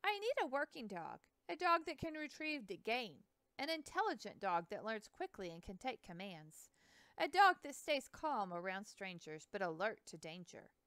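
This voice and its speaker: An eager young explorer, polite and earnest, his words tumbling out in a rush, as a woman reads him aloud. The voice is smooth and clear, with a lively, rising and falling pitch, set close and dry like a storybook reading. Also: normal speed, no room sound. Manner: earnest, courteous, speaks in a rush.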